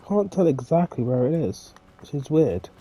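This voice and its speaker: A congested man with a cold, chatting loosely to himself as he plays through a video game. His voice is very dark and mellow. A faint hiss of noise lies beneath it. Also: on the high side, normal speed, low-quality recording. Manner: relaxed, talks to himself. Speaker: gaming streamer. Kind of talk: casual gameplay commentary.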